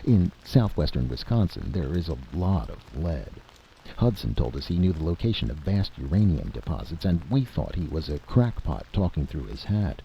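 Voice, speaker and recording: A man voicing a reasonable, frank commission chairman speaking in measured terms in a story reading, on a dull lo-fi recording. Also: extremely low pitch, creaky, heavily acted. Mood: reasoned, regretful. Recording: dull lo-fi audio with steady hiss.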